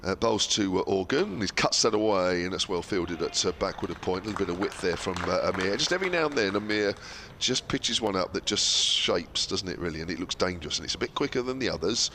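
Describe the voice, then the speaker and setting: A man's voice: low-pitched, animated, fast, few pauses, pushed and bright, slight background noise. A man commentating cricket on the radio with a cold, losing his voice, chatty and full of fillers and tag questions.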